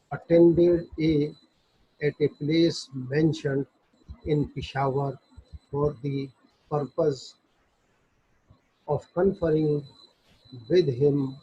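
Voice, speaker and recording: A man with a Pakistani accent giving an explanatory law lecture, reading passages out deliberately, phrase by phrase, and explaining them. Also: a little high, warm tone, slightly rough. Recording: dull, lo-fi audio.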